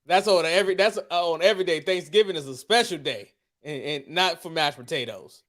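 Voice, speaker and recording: A man's voice: a little high, fairly quick, a bit bright. An American man co-hosting a podcast in casual, teasing, profane banter, often repeating words for emphasis. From United States. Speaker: podcast co-host.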